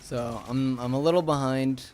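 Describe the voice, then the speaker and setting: A young man streaming a game, sleepy and a little raspy, rambling casually to chat as he plays. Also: medium pitch, fairly even intonation, moderate pace, a little mellow, a little hiss or hum. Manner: rambling, talks to chat while playing.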